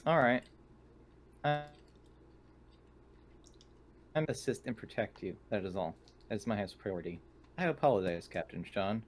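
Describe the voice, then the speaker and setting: A man's voice: lowish voice, lively intonation, fairly quick, a little hiss or hum, voice pushed down, natural voice. A man voicing a formal, dutiful, protective synthetic assistant in a stilted manner. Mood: dutiful, apologetic.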